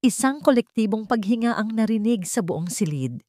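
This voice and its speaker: A woman narrating a dramatic story in a steady reading voice. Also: a little high, normal speed, dry recording, clean studio sound.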